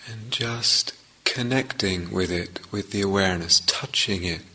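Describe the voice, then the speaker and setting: A man leading a guided meditation as a teacher, speaking gently and in measured short phrases with long pauses. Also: low voice, husky, low-quality recording.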